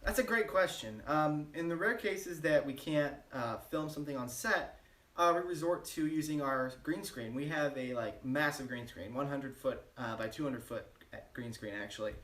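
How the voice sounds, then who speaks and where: Man, mid-pitched, deadpan, rambling, echoey room, mic at a distance. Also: normal speed. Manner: deadpan, rambling with many fillers. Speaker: channel co-host. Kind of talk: mock-serious joke interview.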